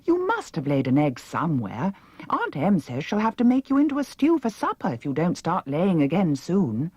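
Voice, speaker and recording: A woman's voice: fairly low pitch, wildly swooping pitch, moderate pace, a little mellow, husky, dry recording, voice pushed up, exaggerated voice. A woman voicing an anxious, earnest young farm girl in a storybook, pleading and wide-eyed.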